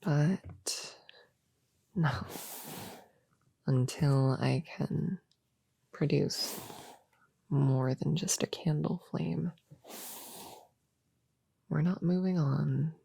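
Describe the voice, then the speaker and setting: A woman playing an eager, slightly grumpy young novice witch in a soft-spoken ASMR roleplay, chatting casually and hesitantly to a friend in a breathy voice that often drops to a whisper. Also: deep voice, fairly even intonation, drawn-out words, long pauses, no room sound, exaggerated voice. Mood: grumpy, wistful. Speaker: audio roleplay performer. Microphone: close microphone in a dry space.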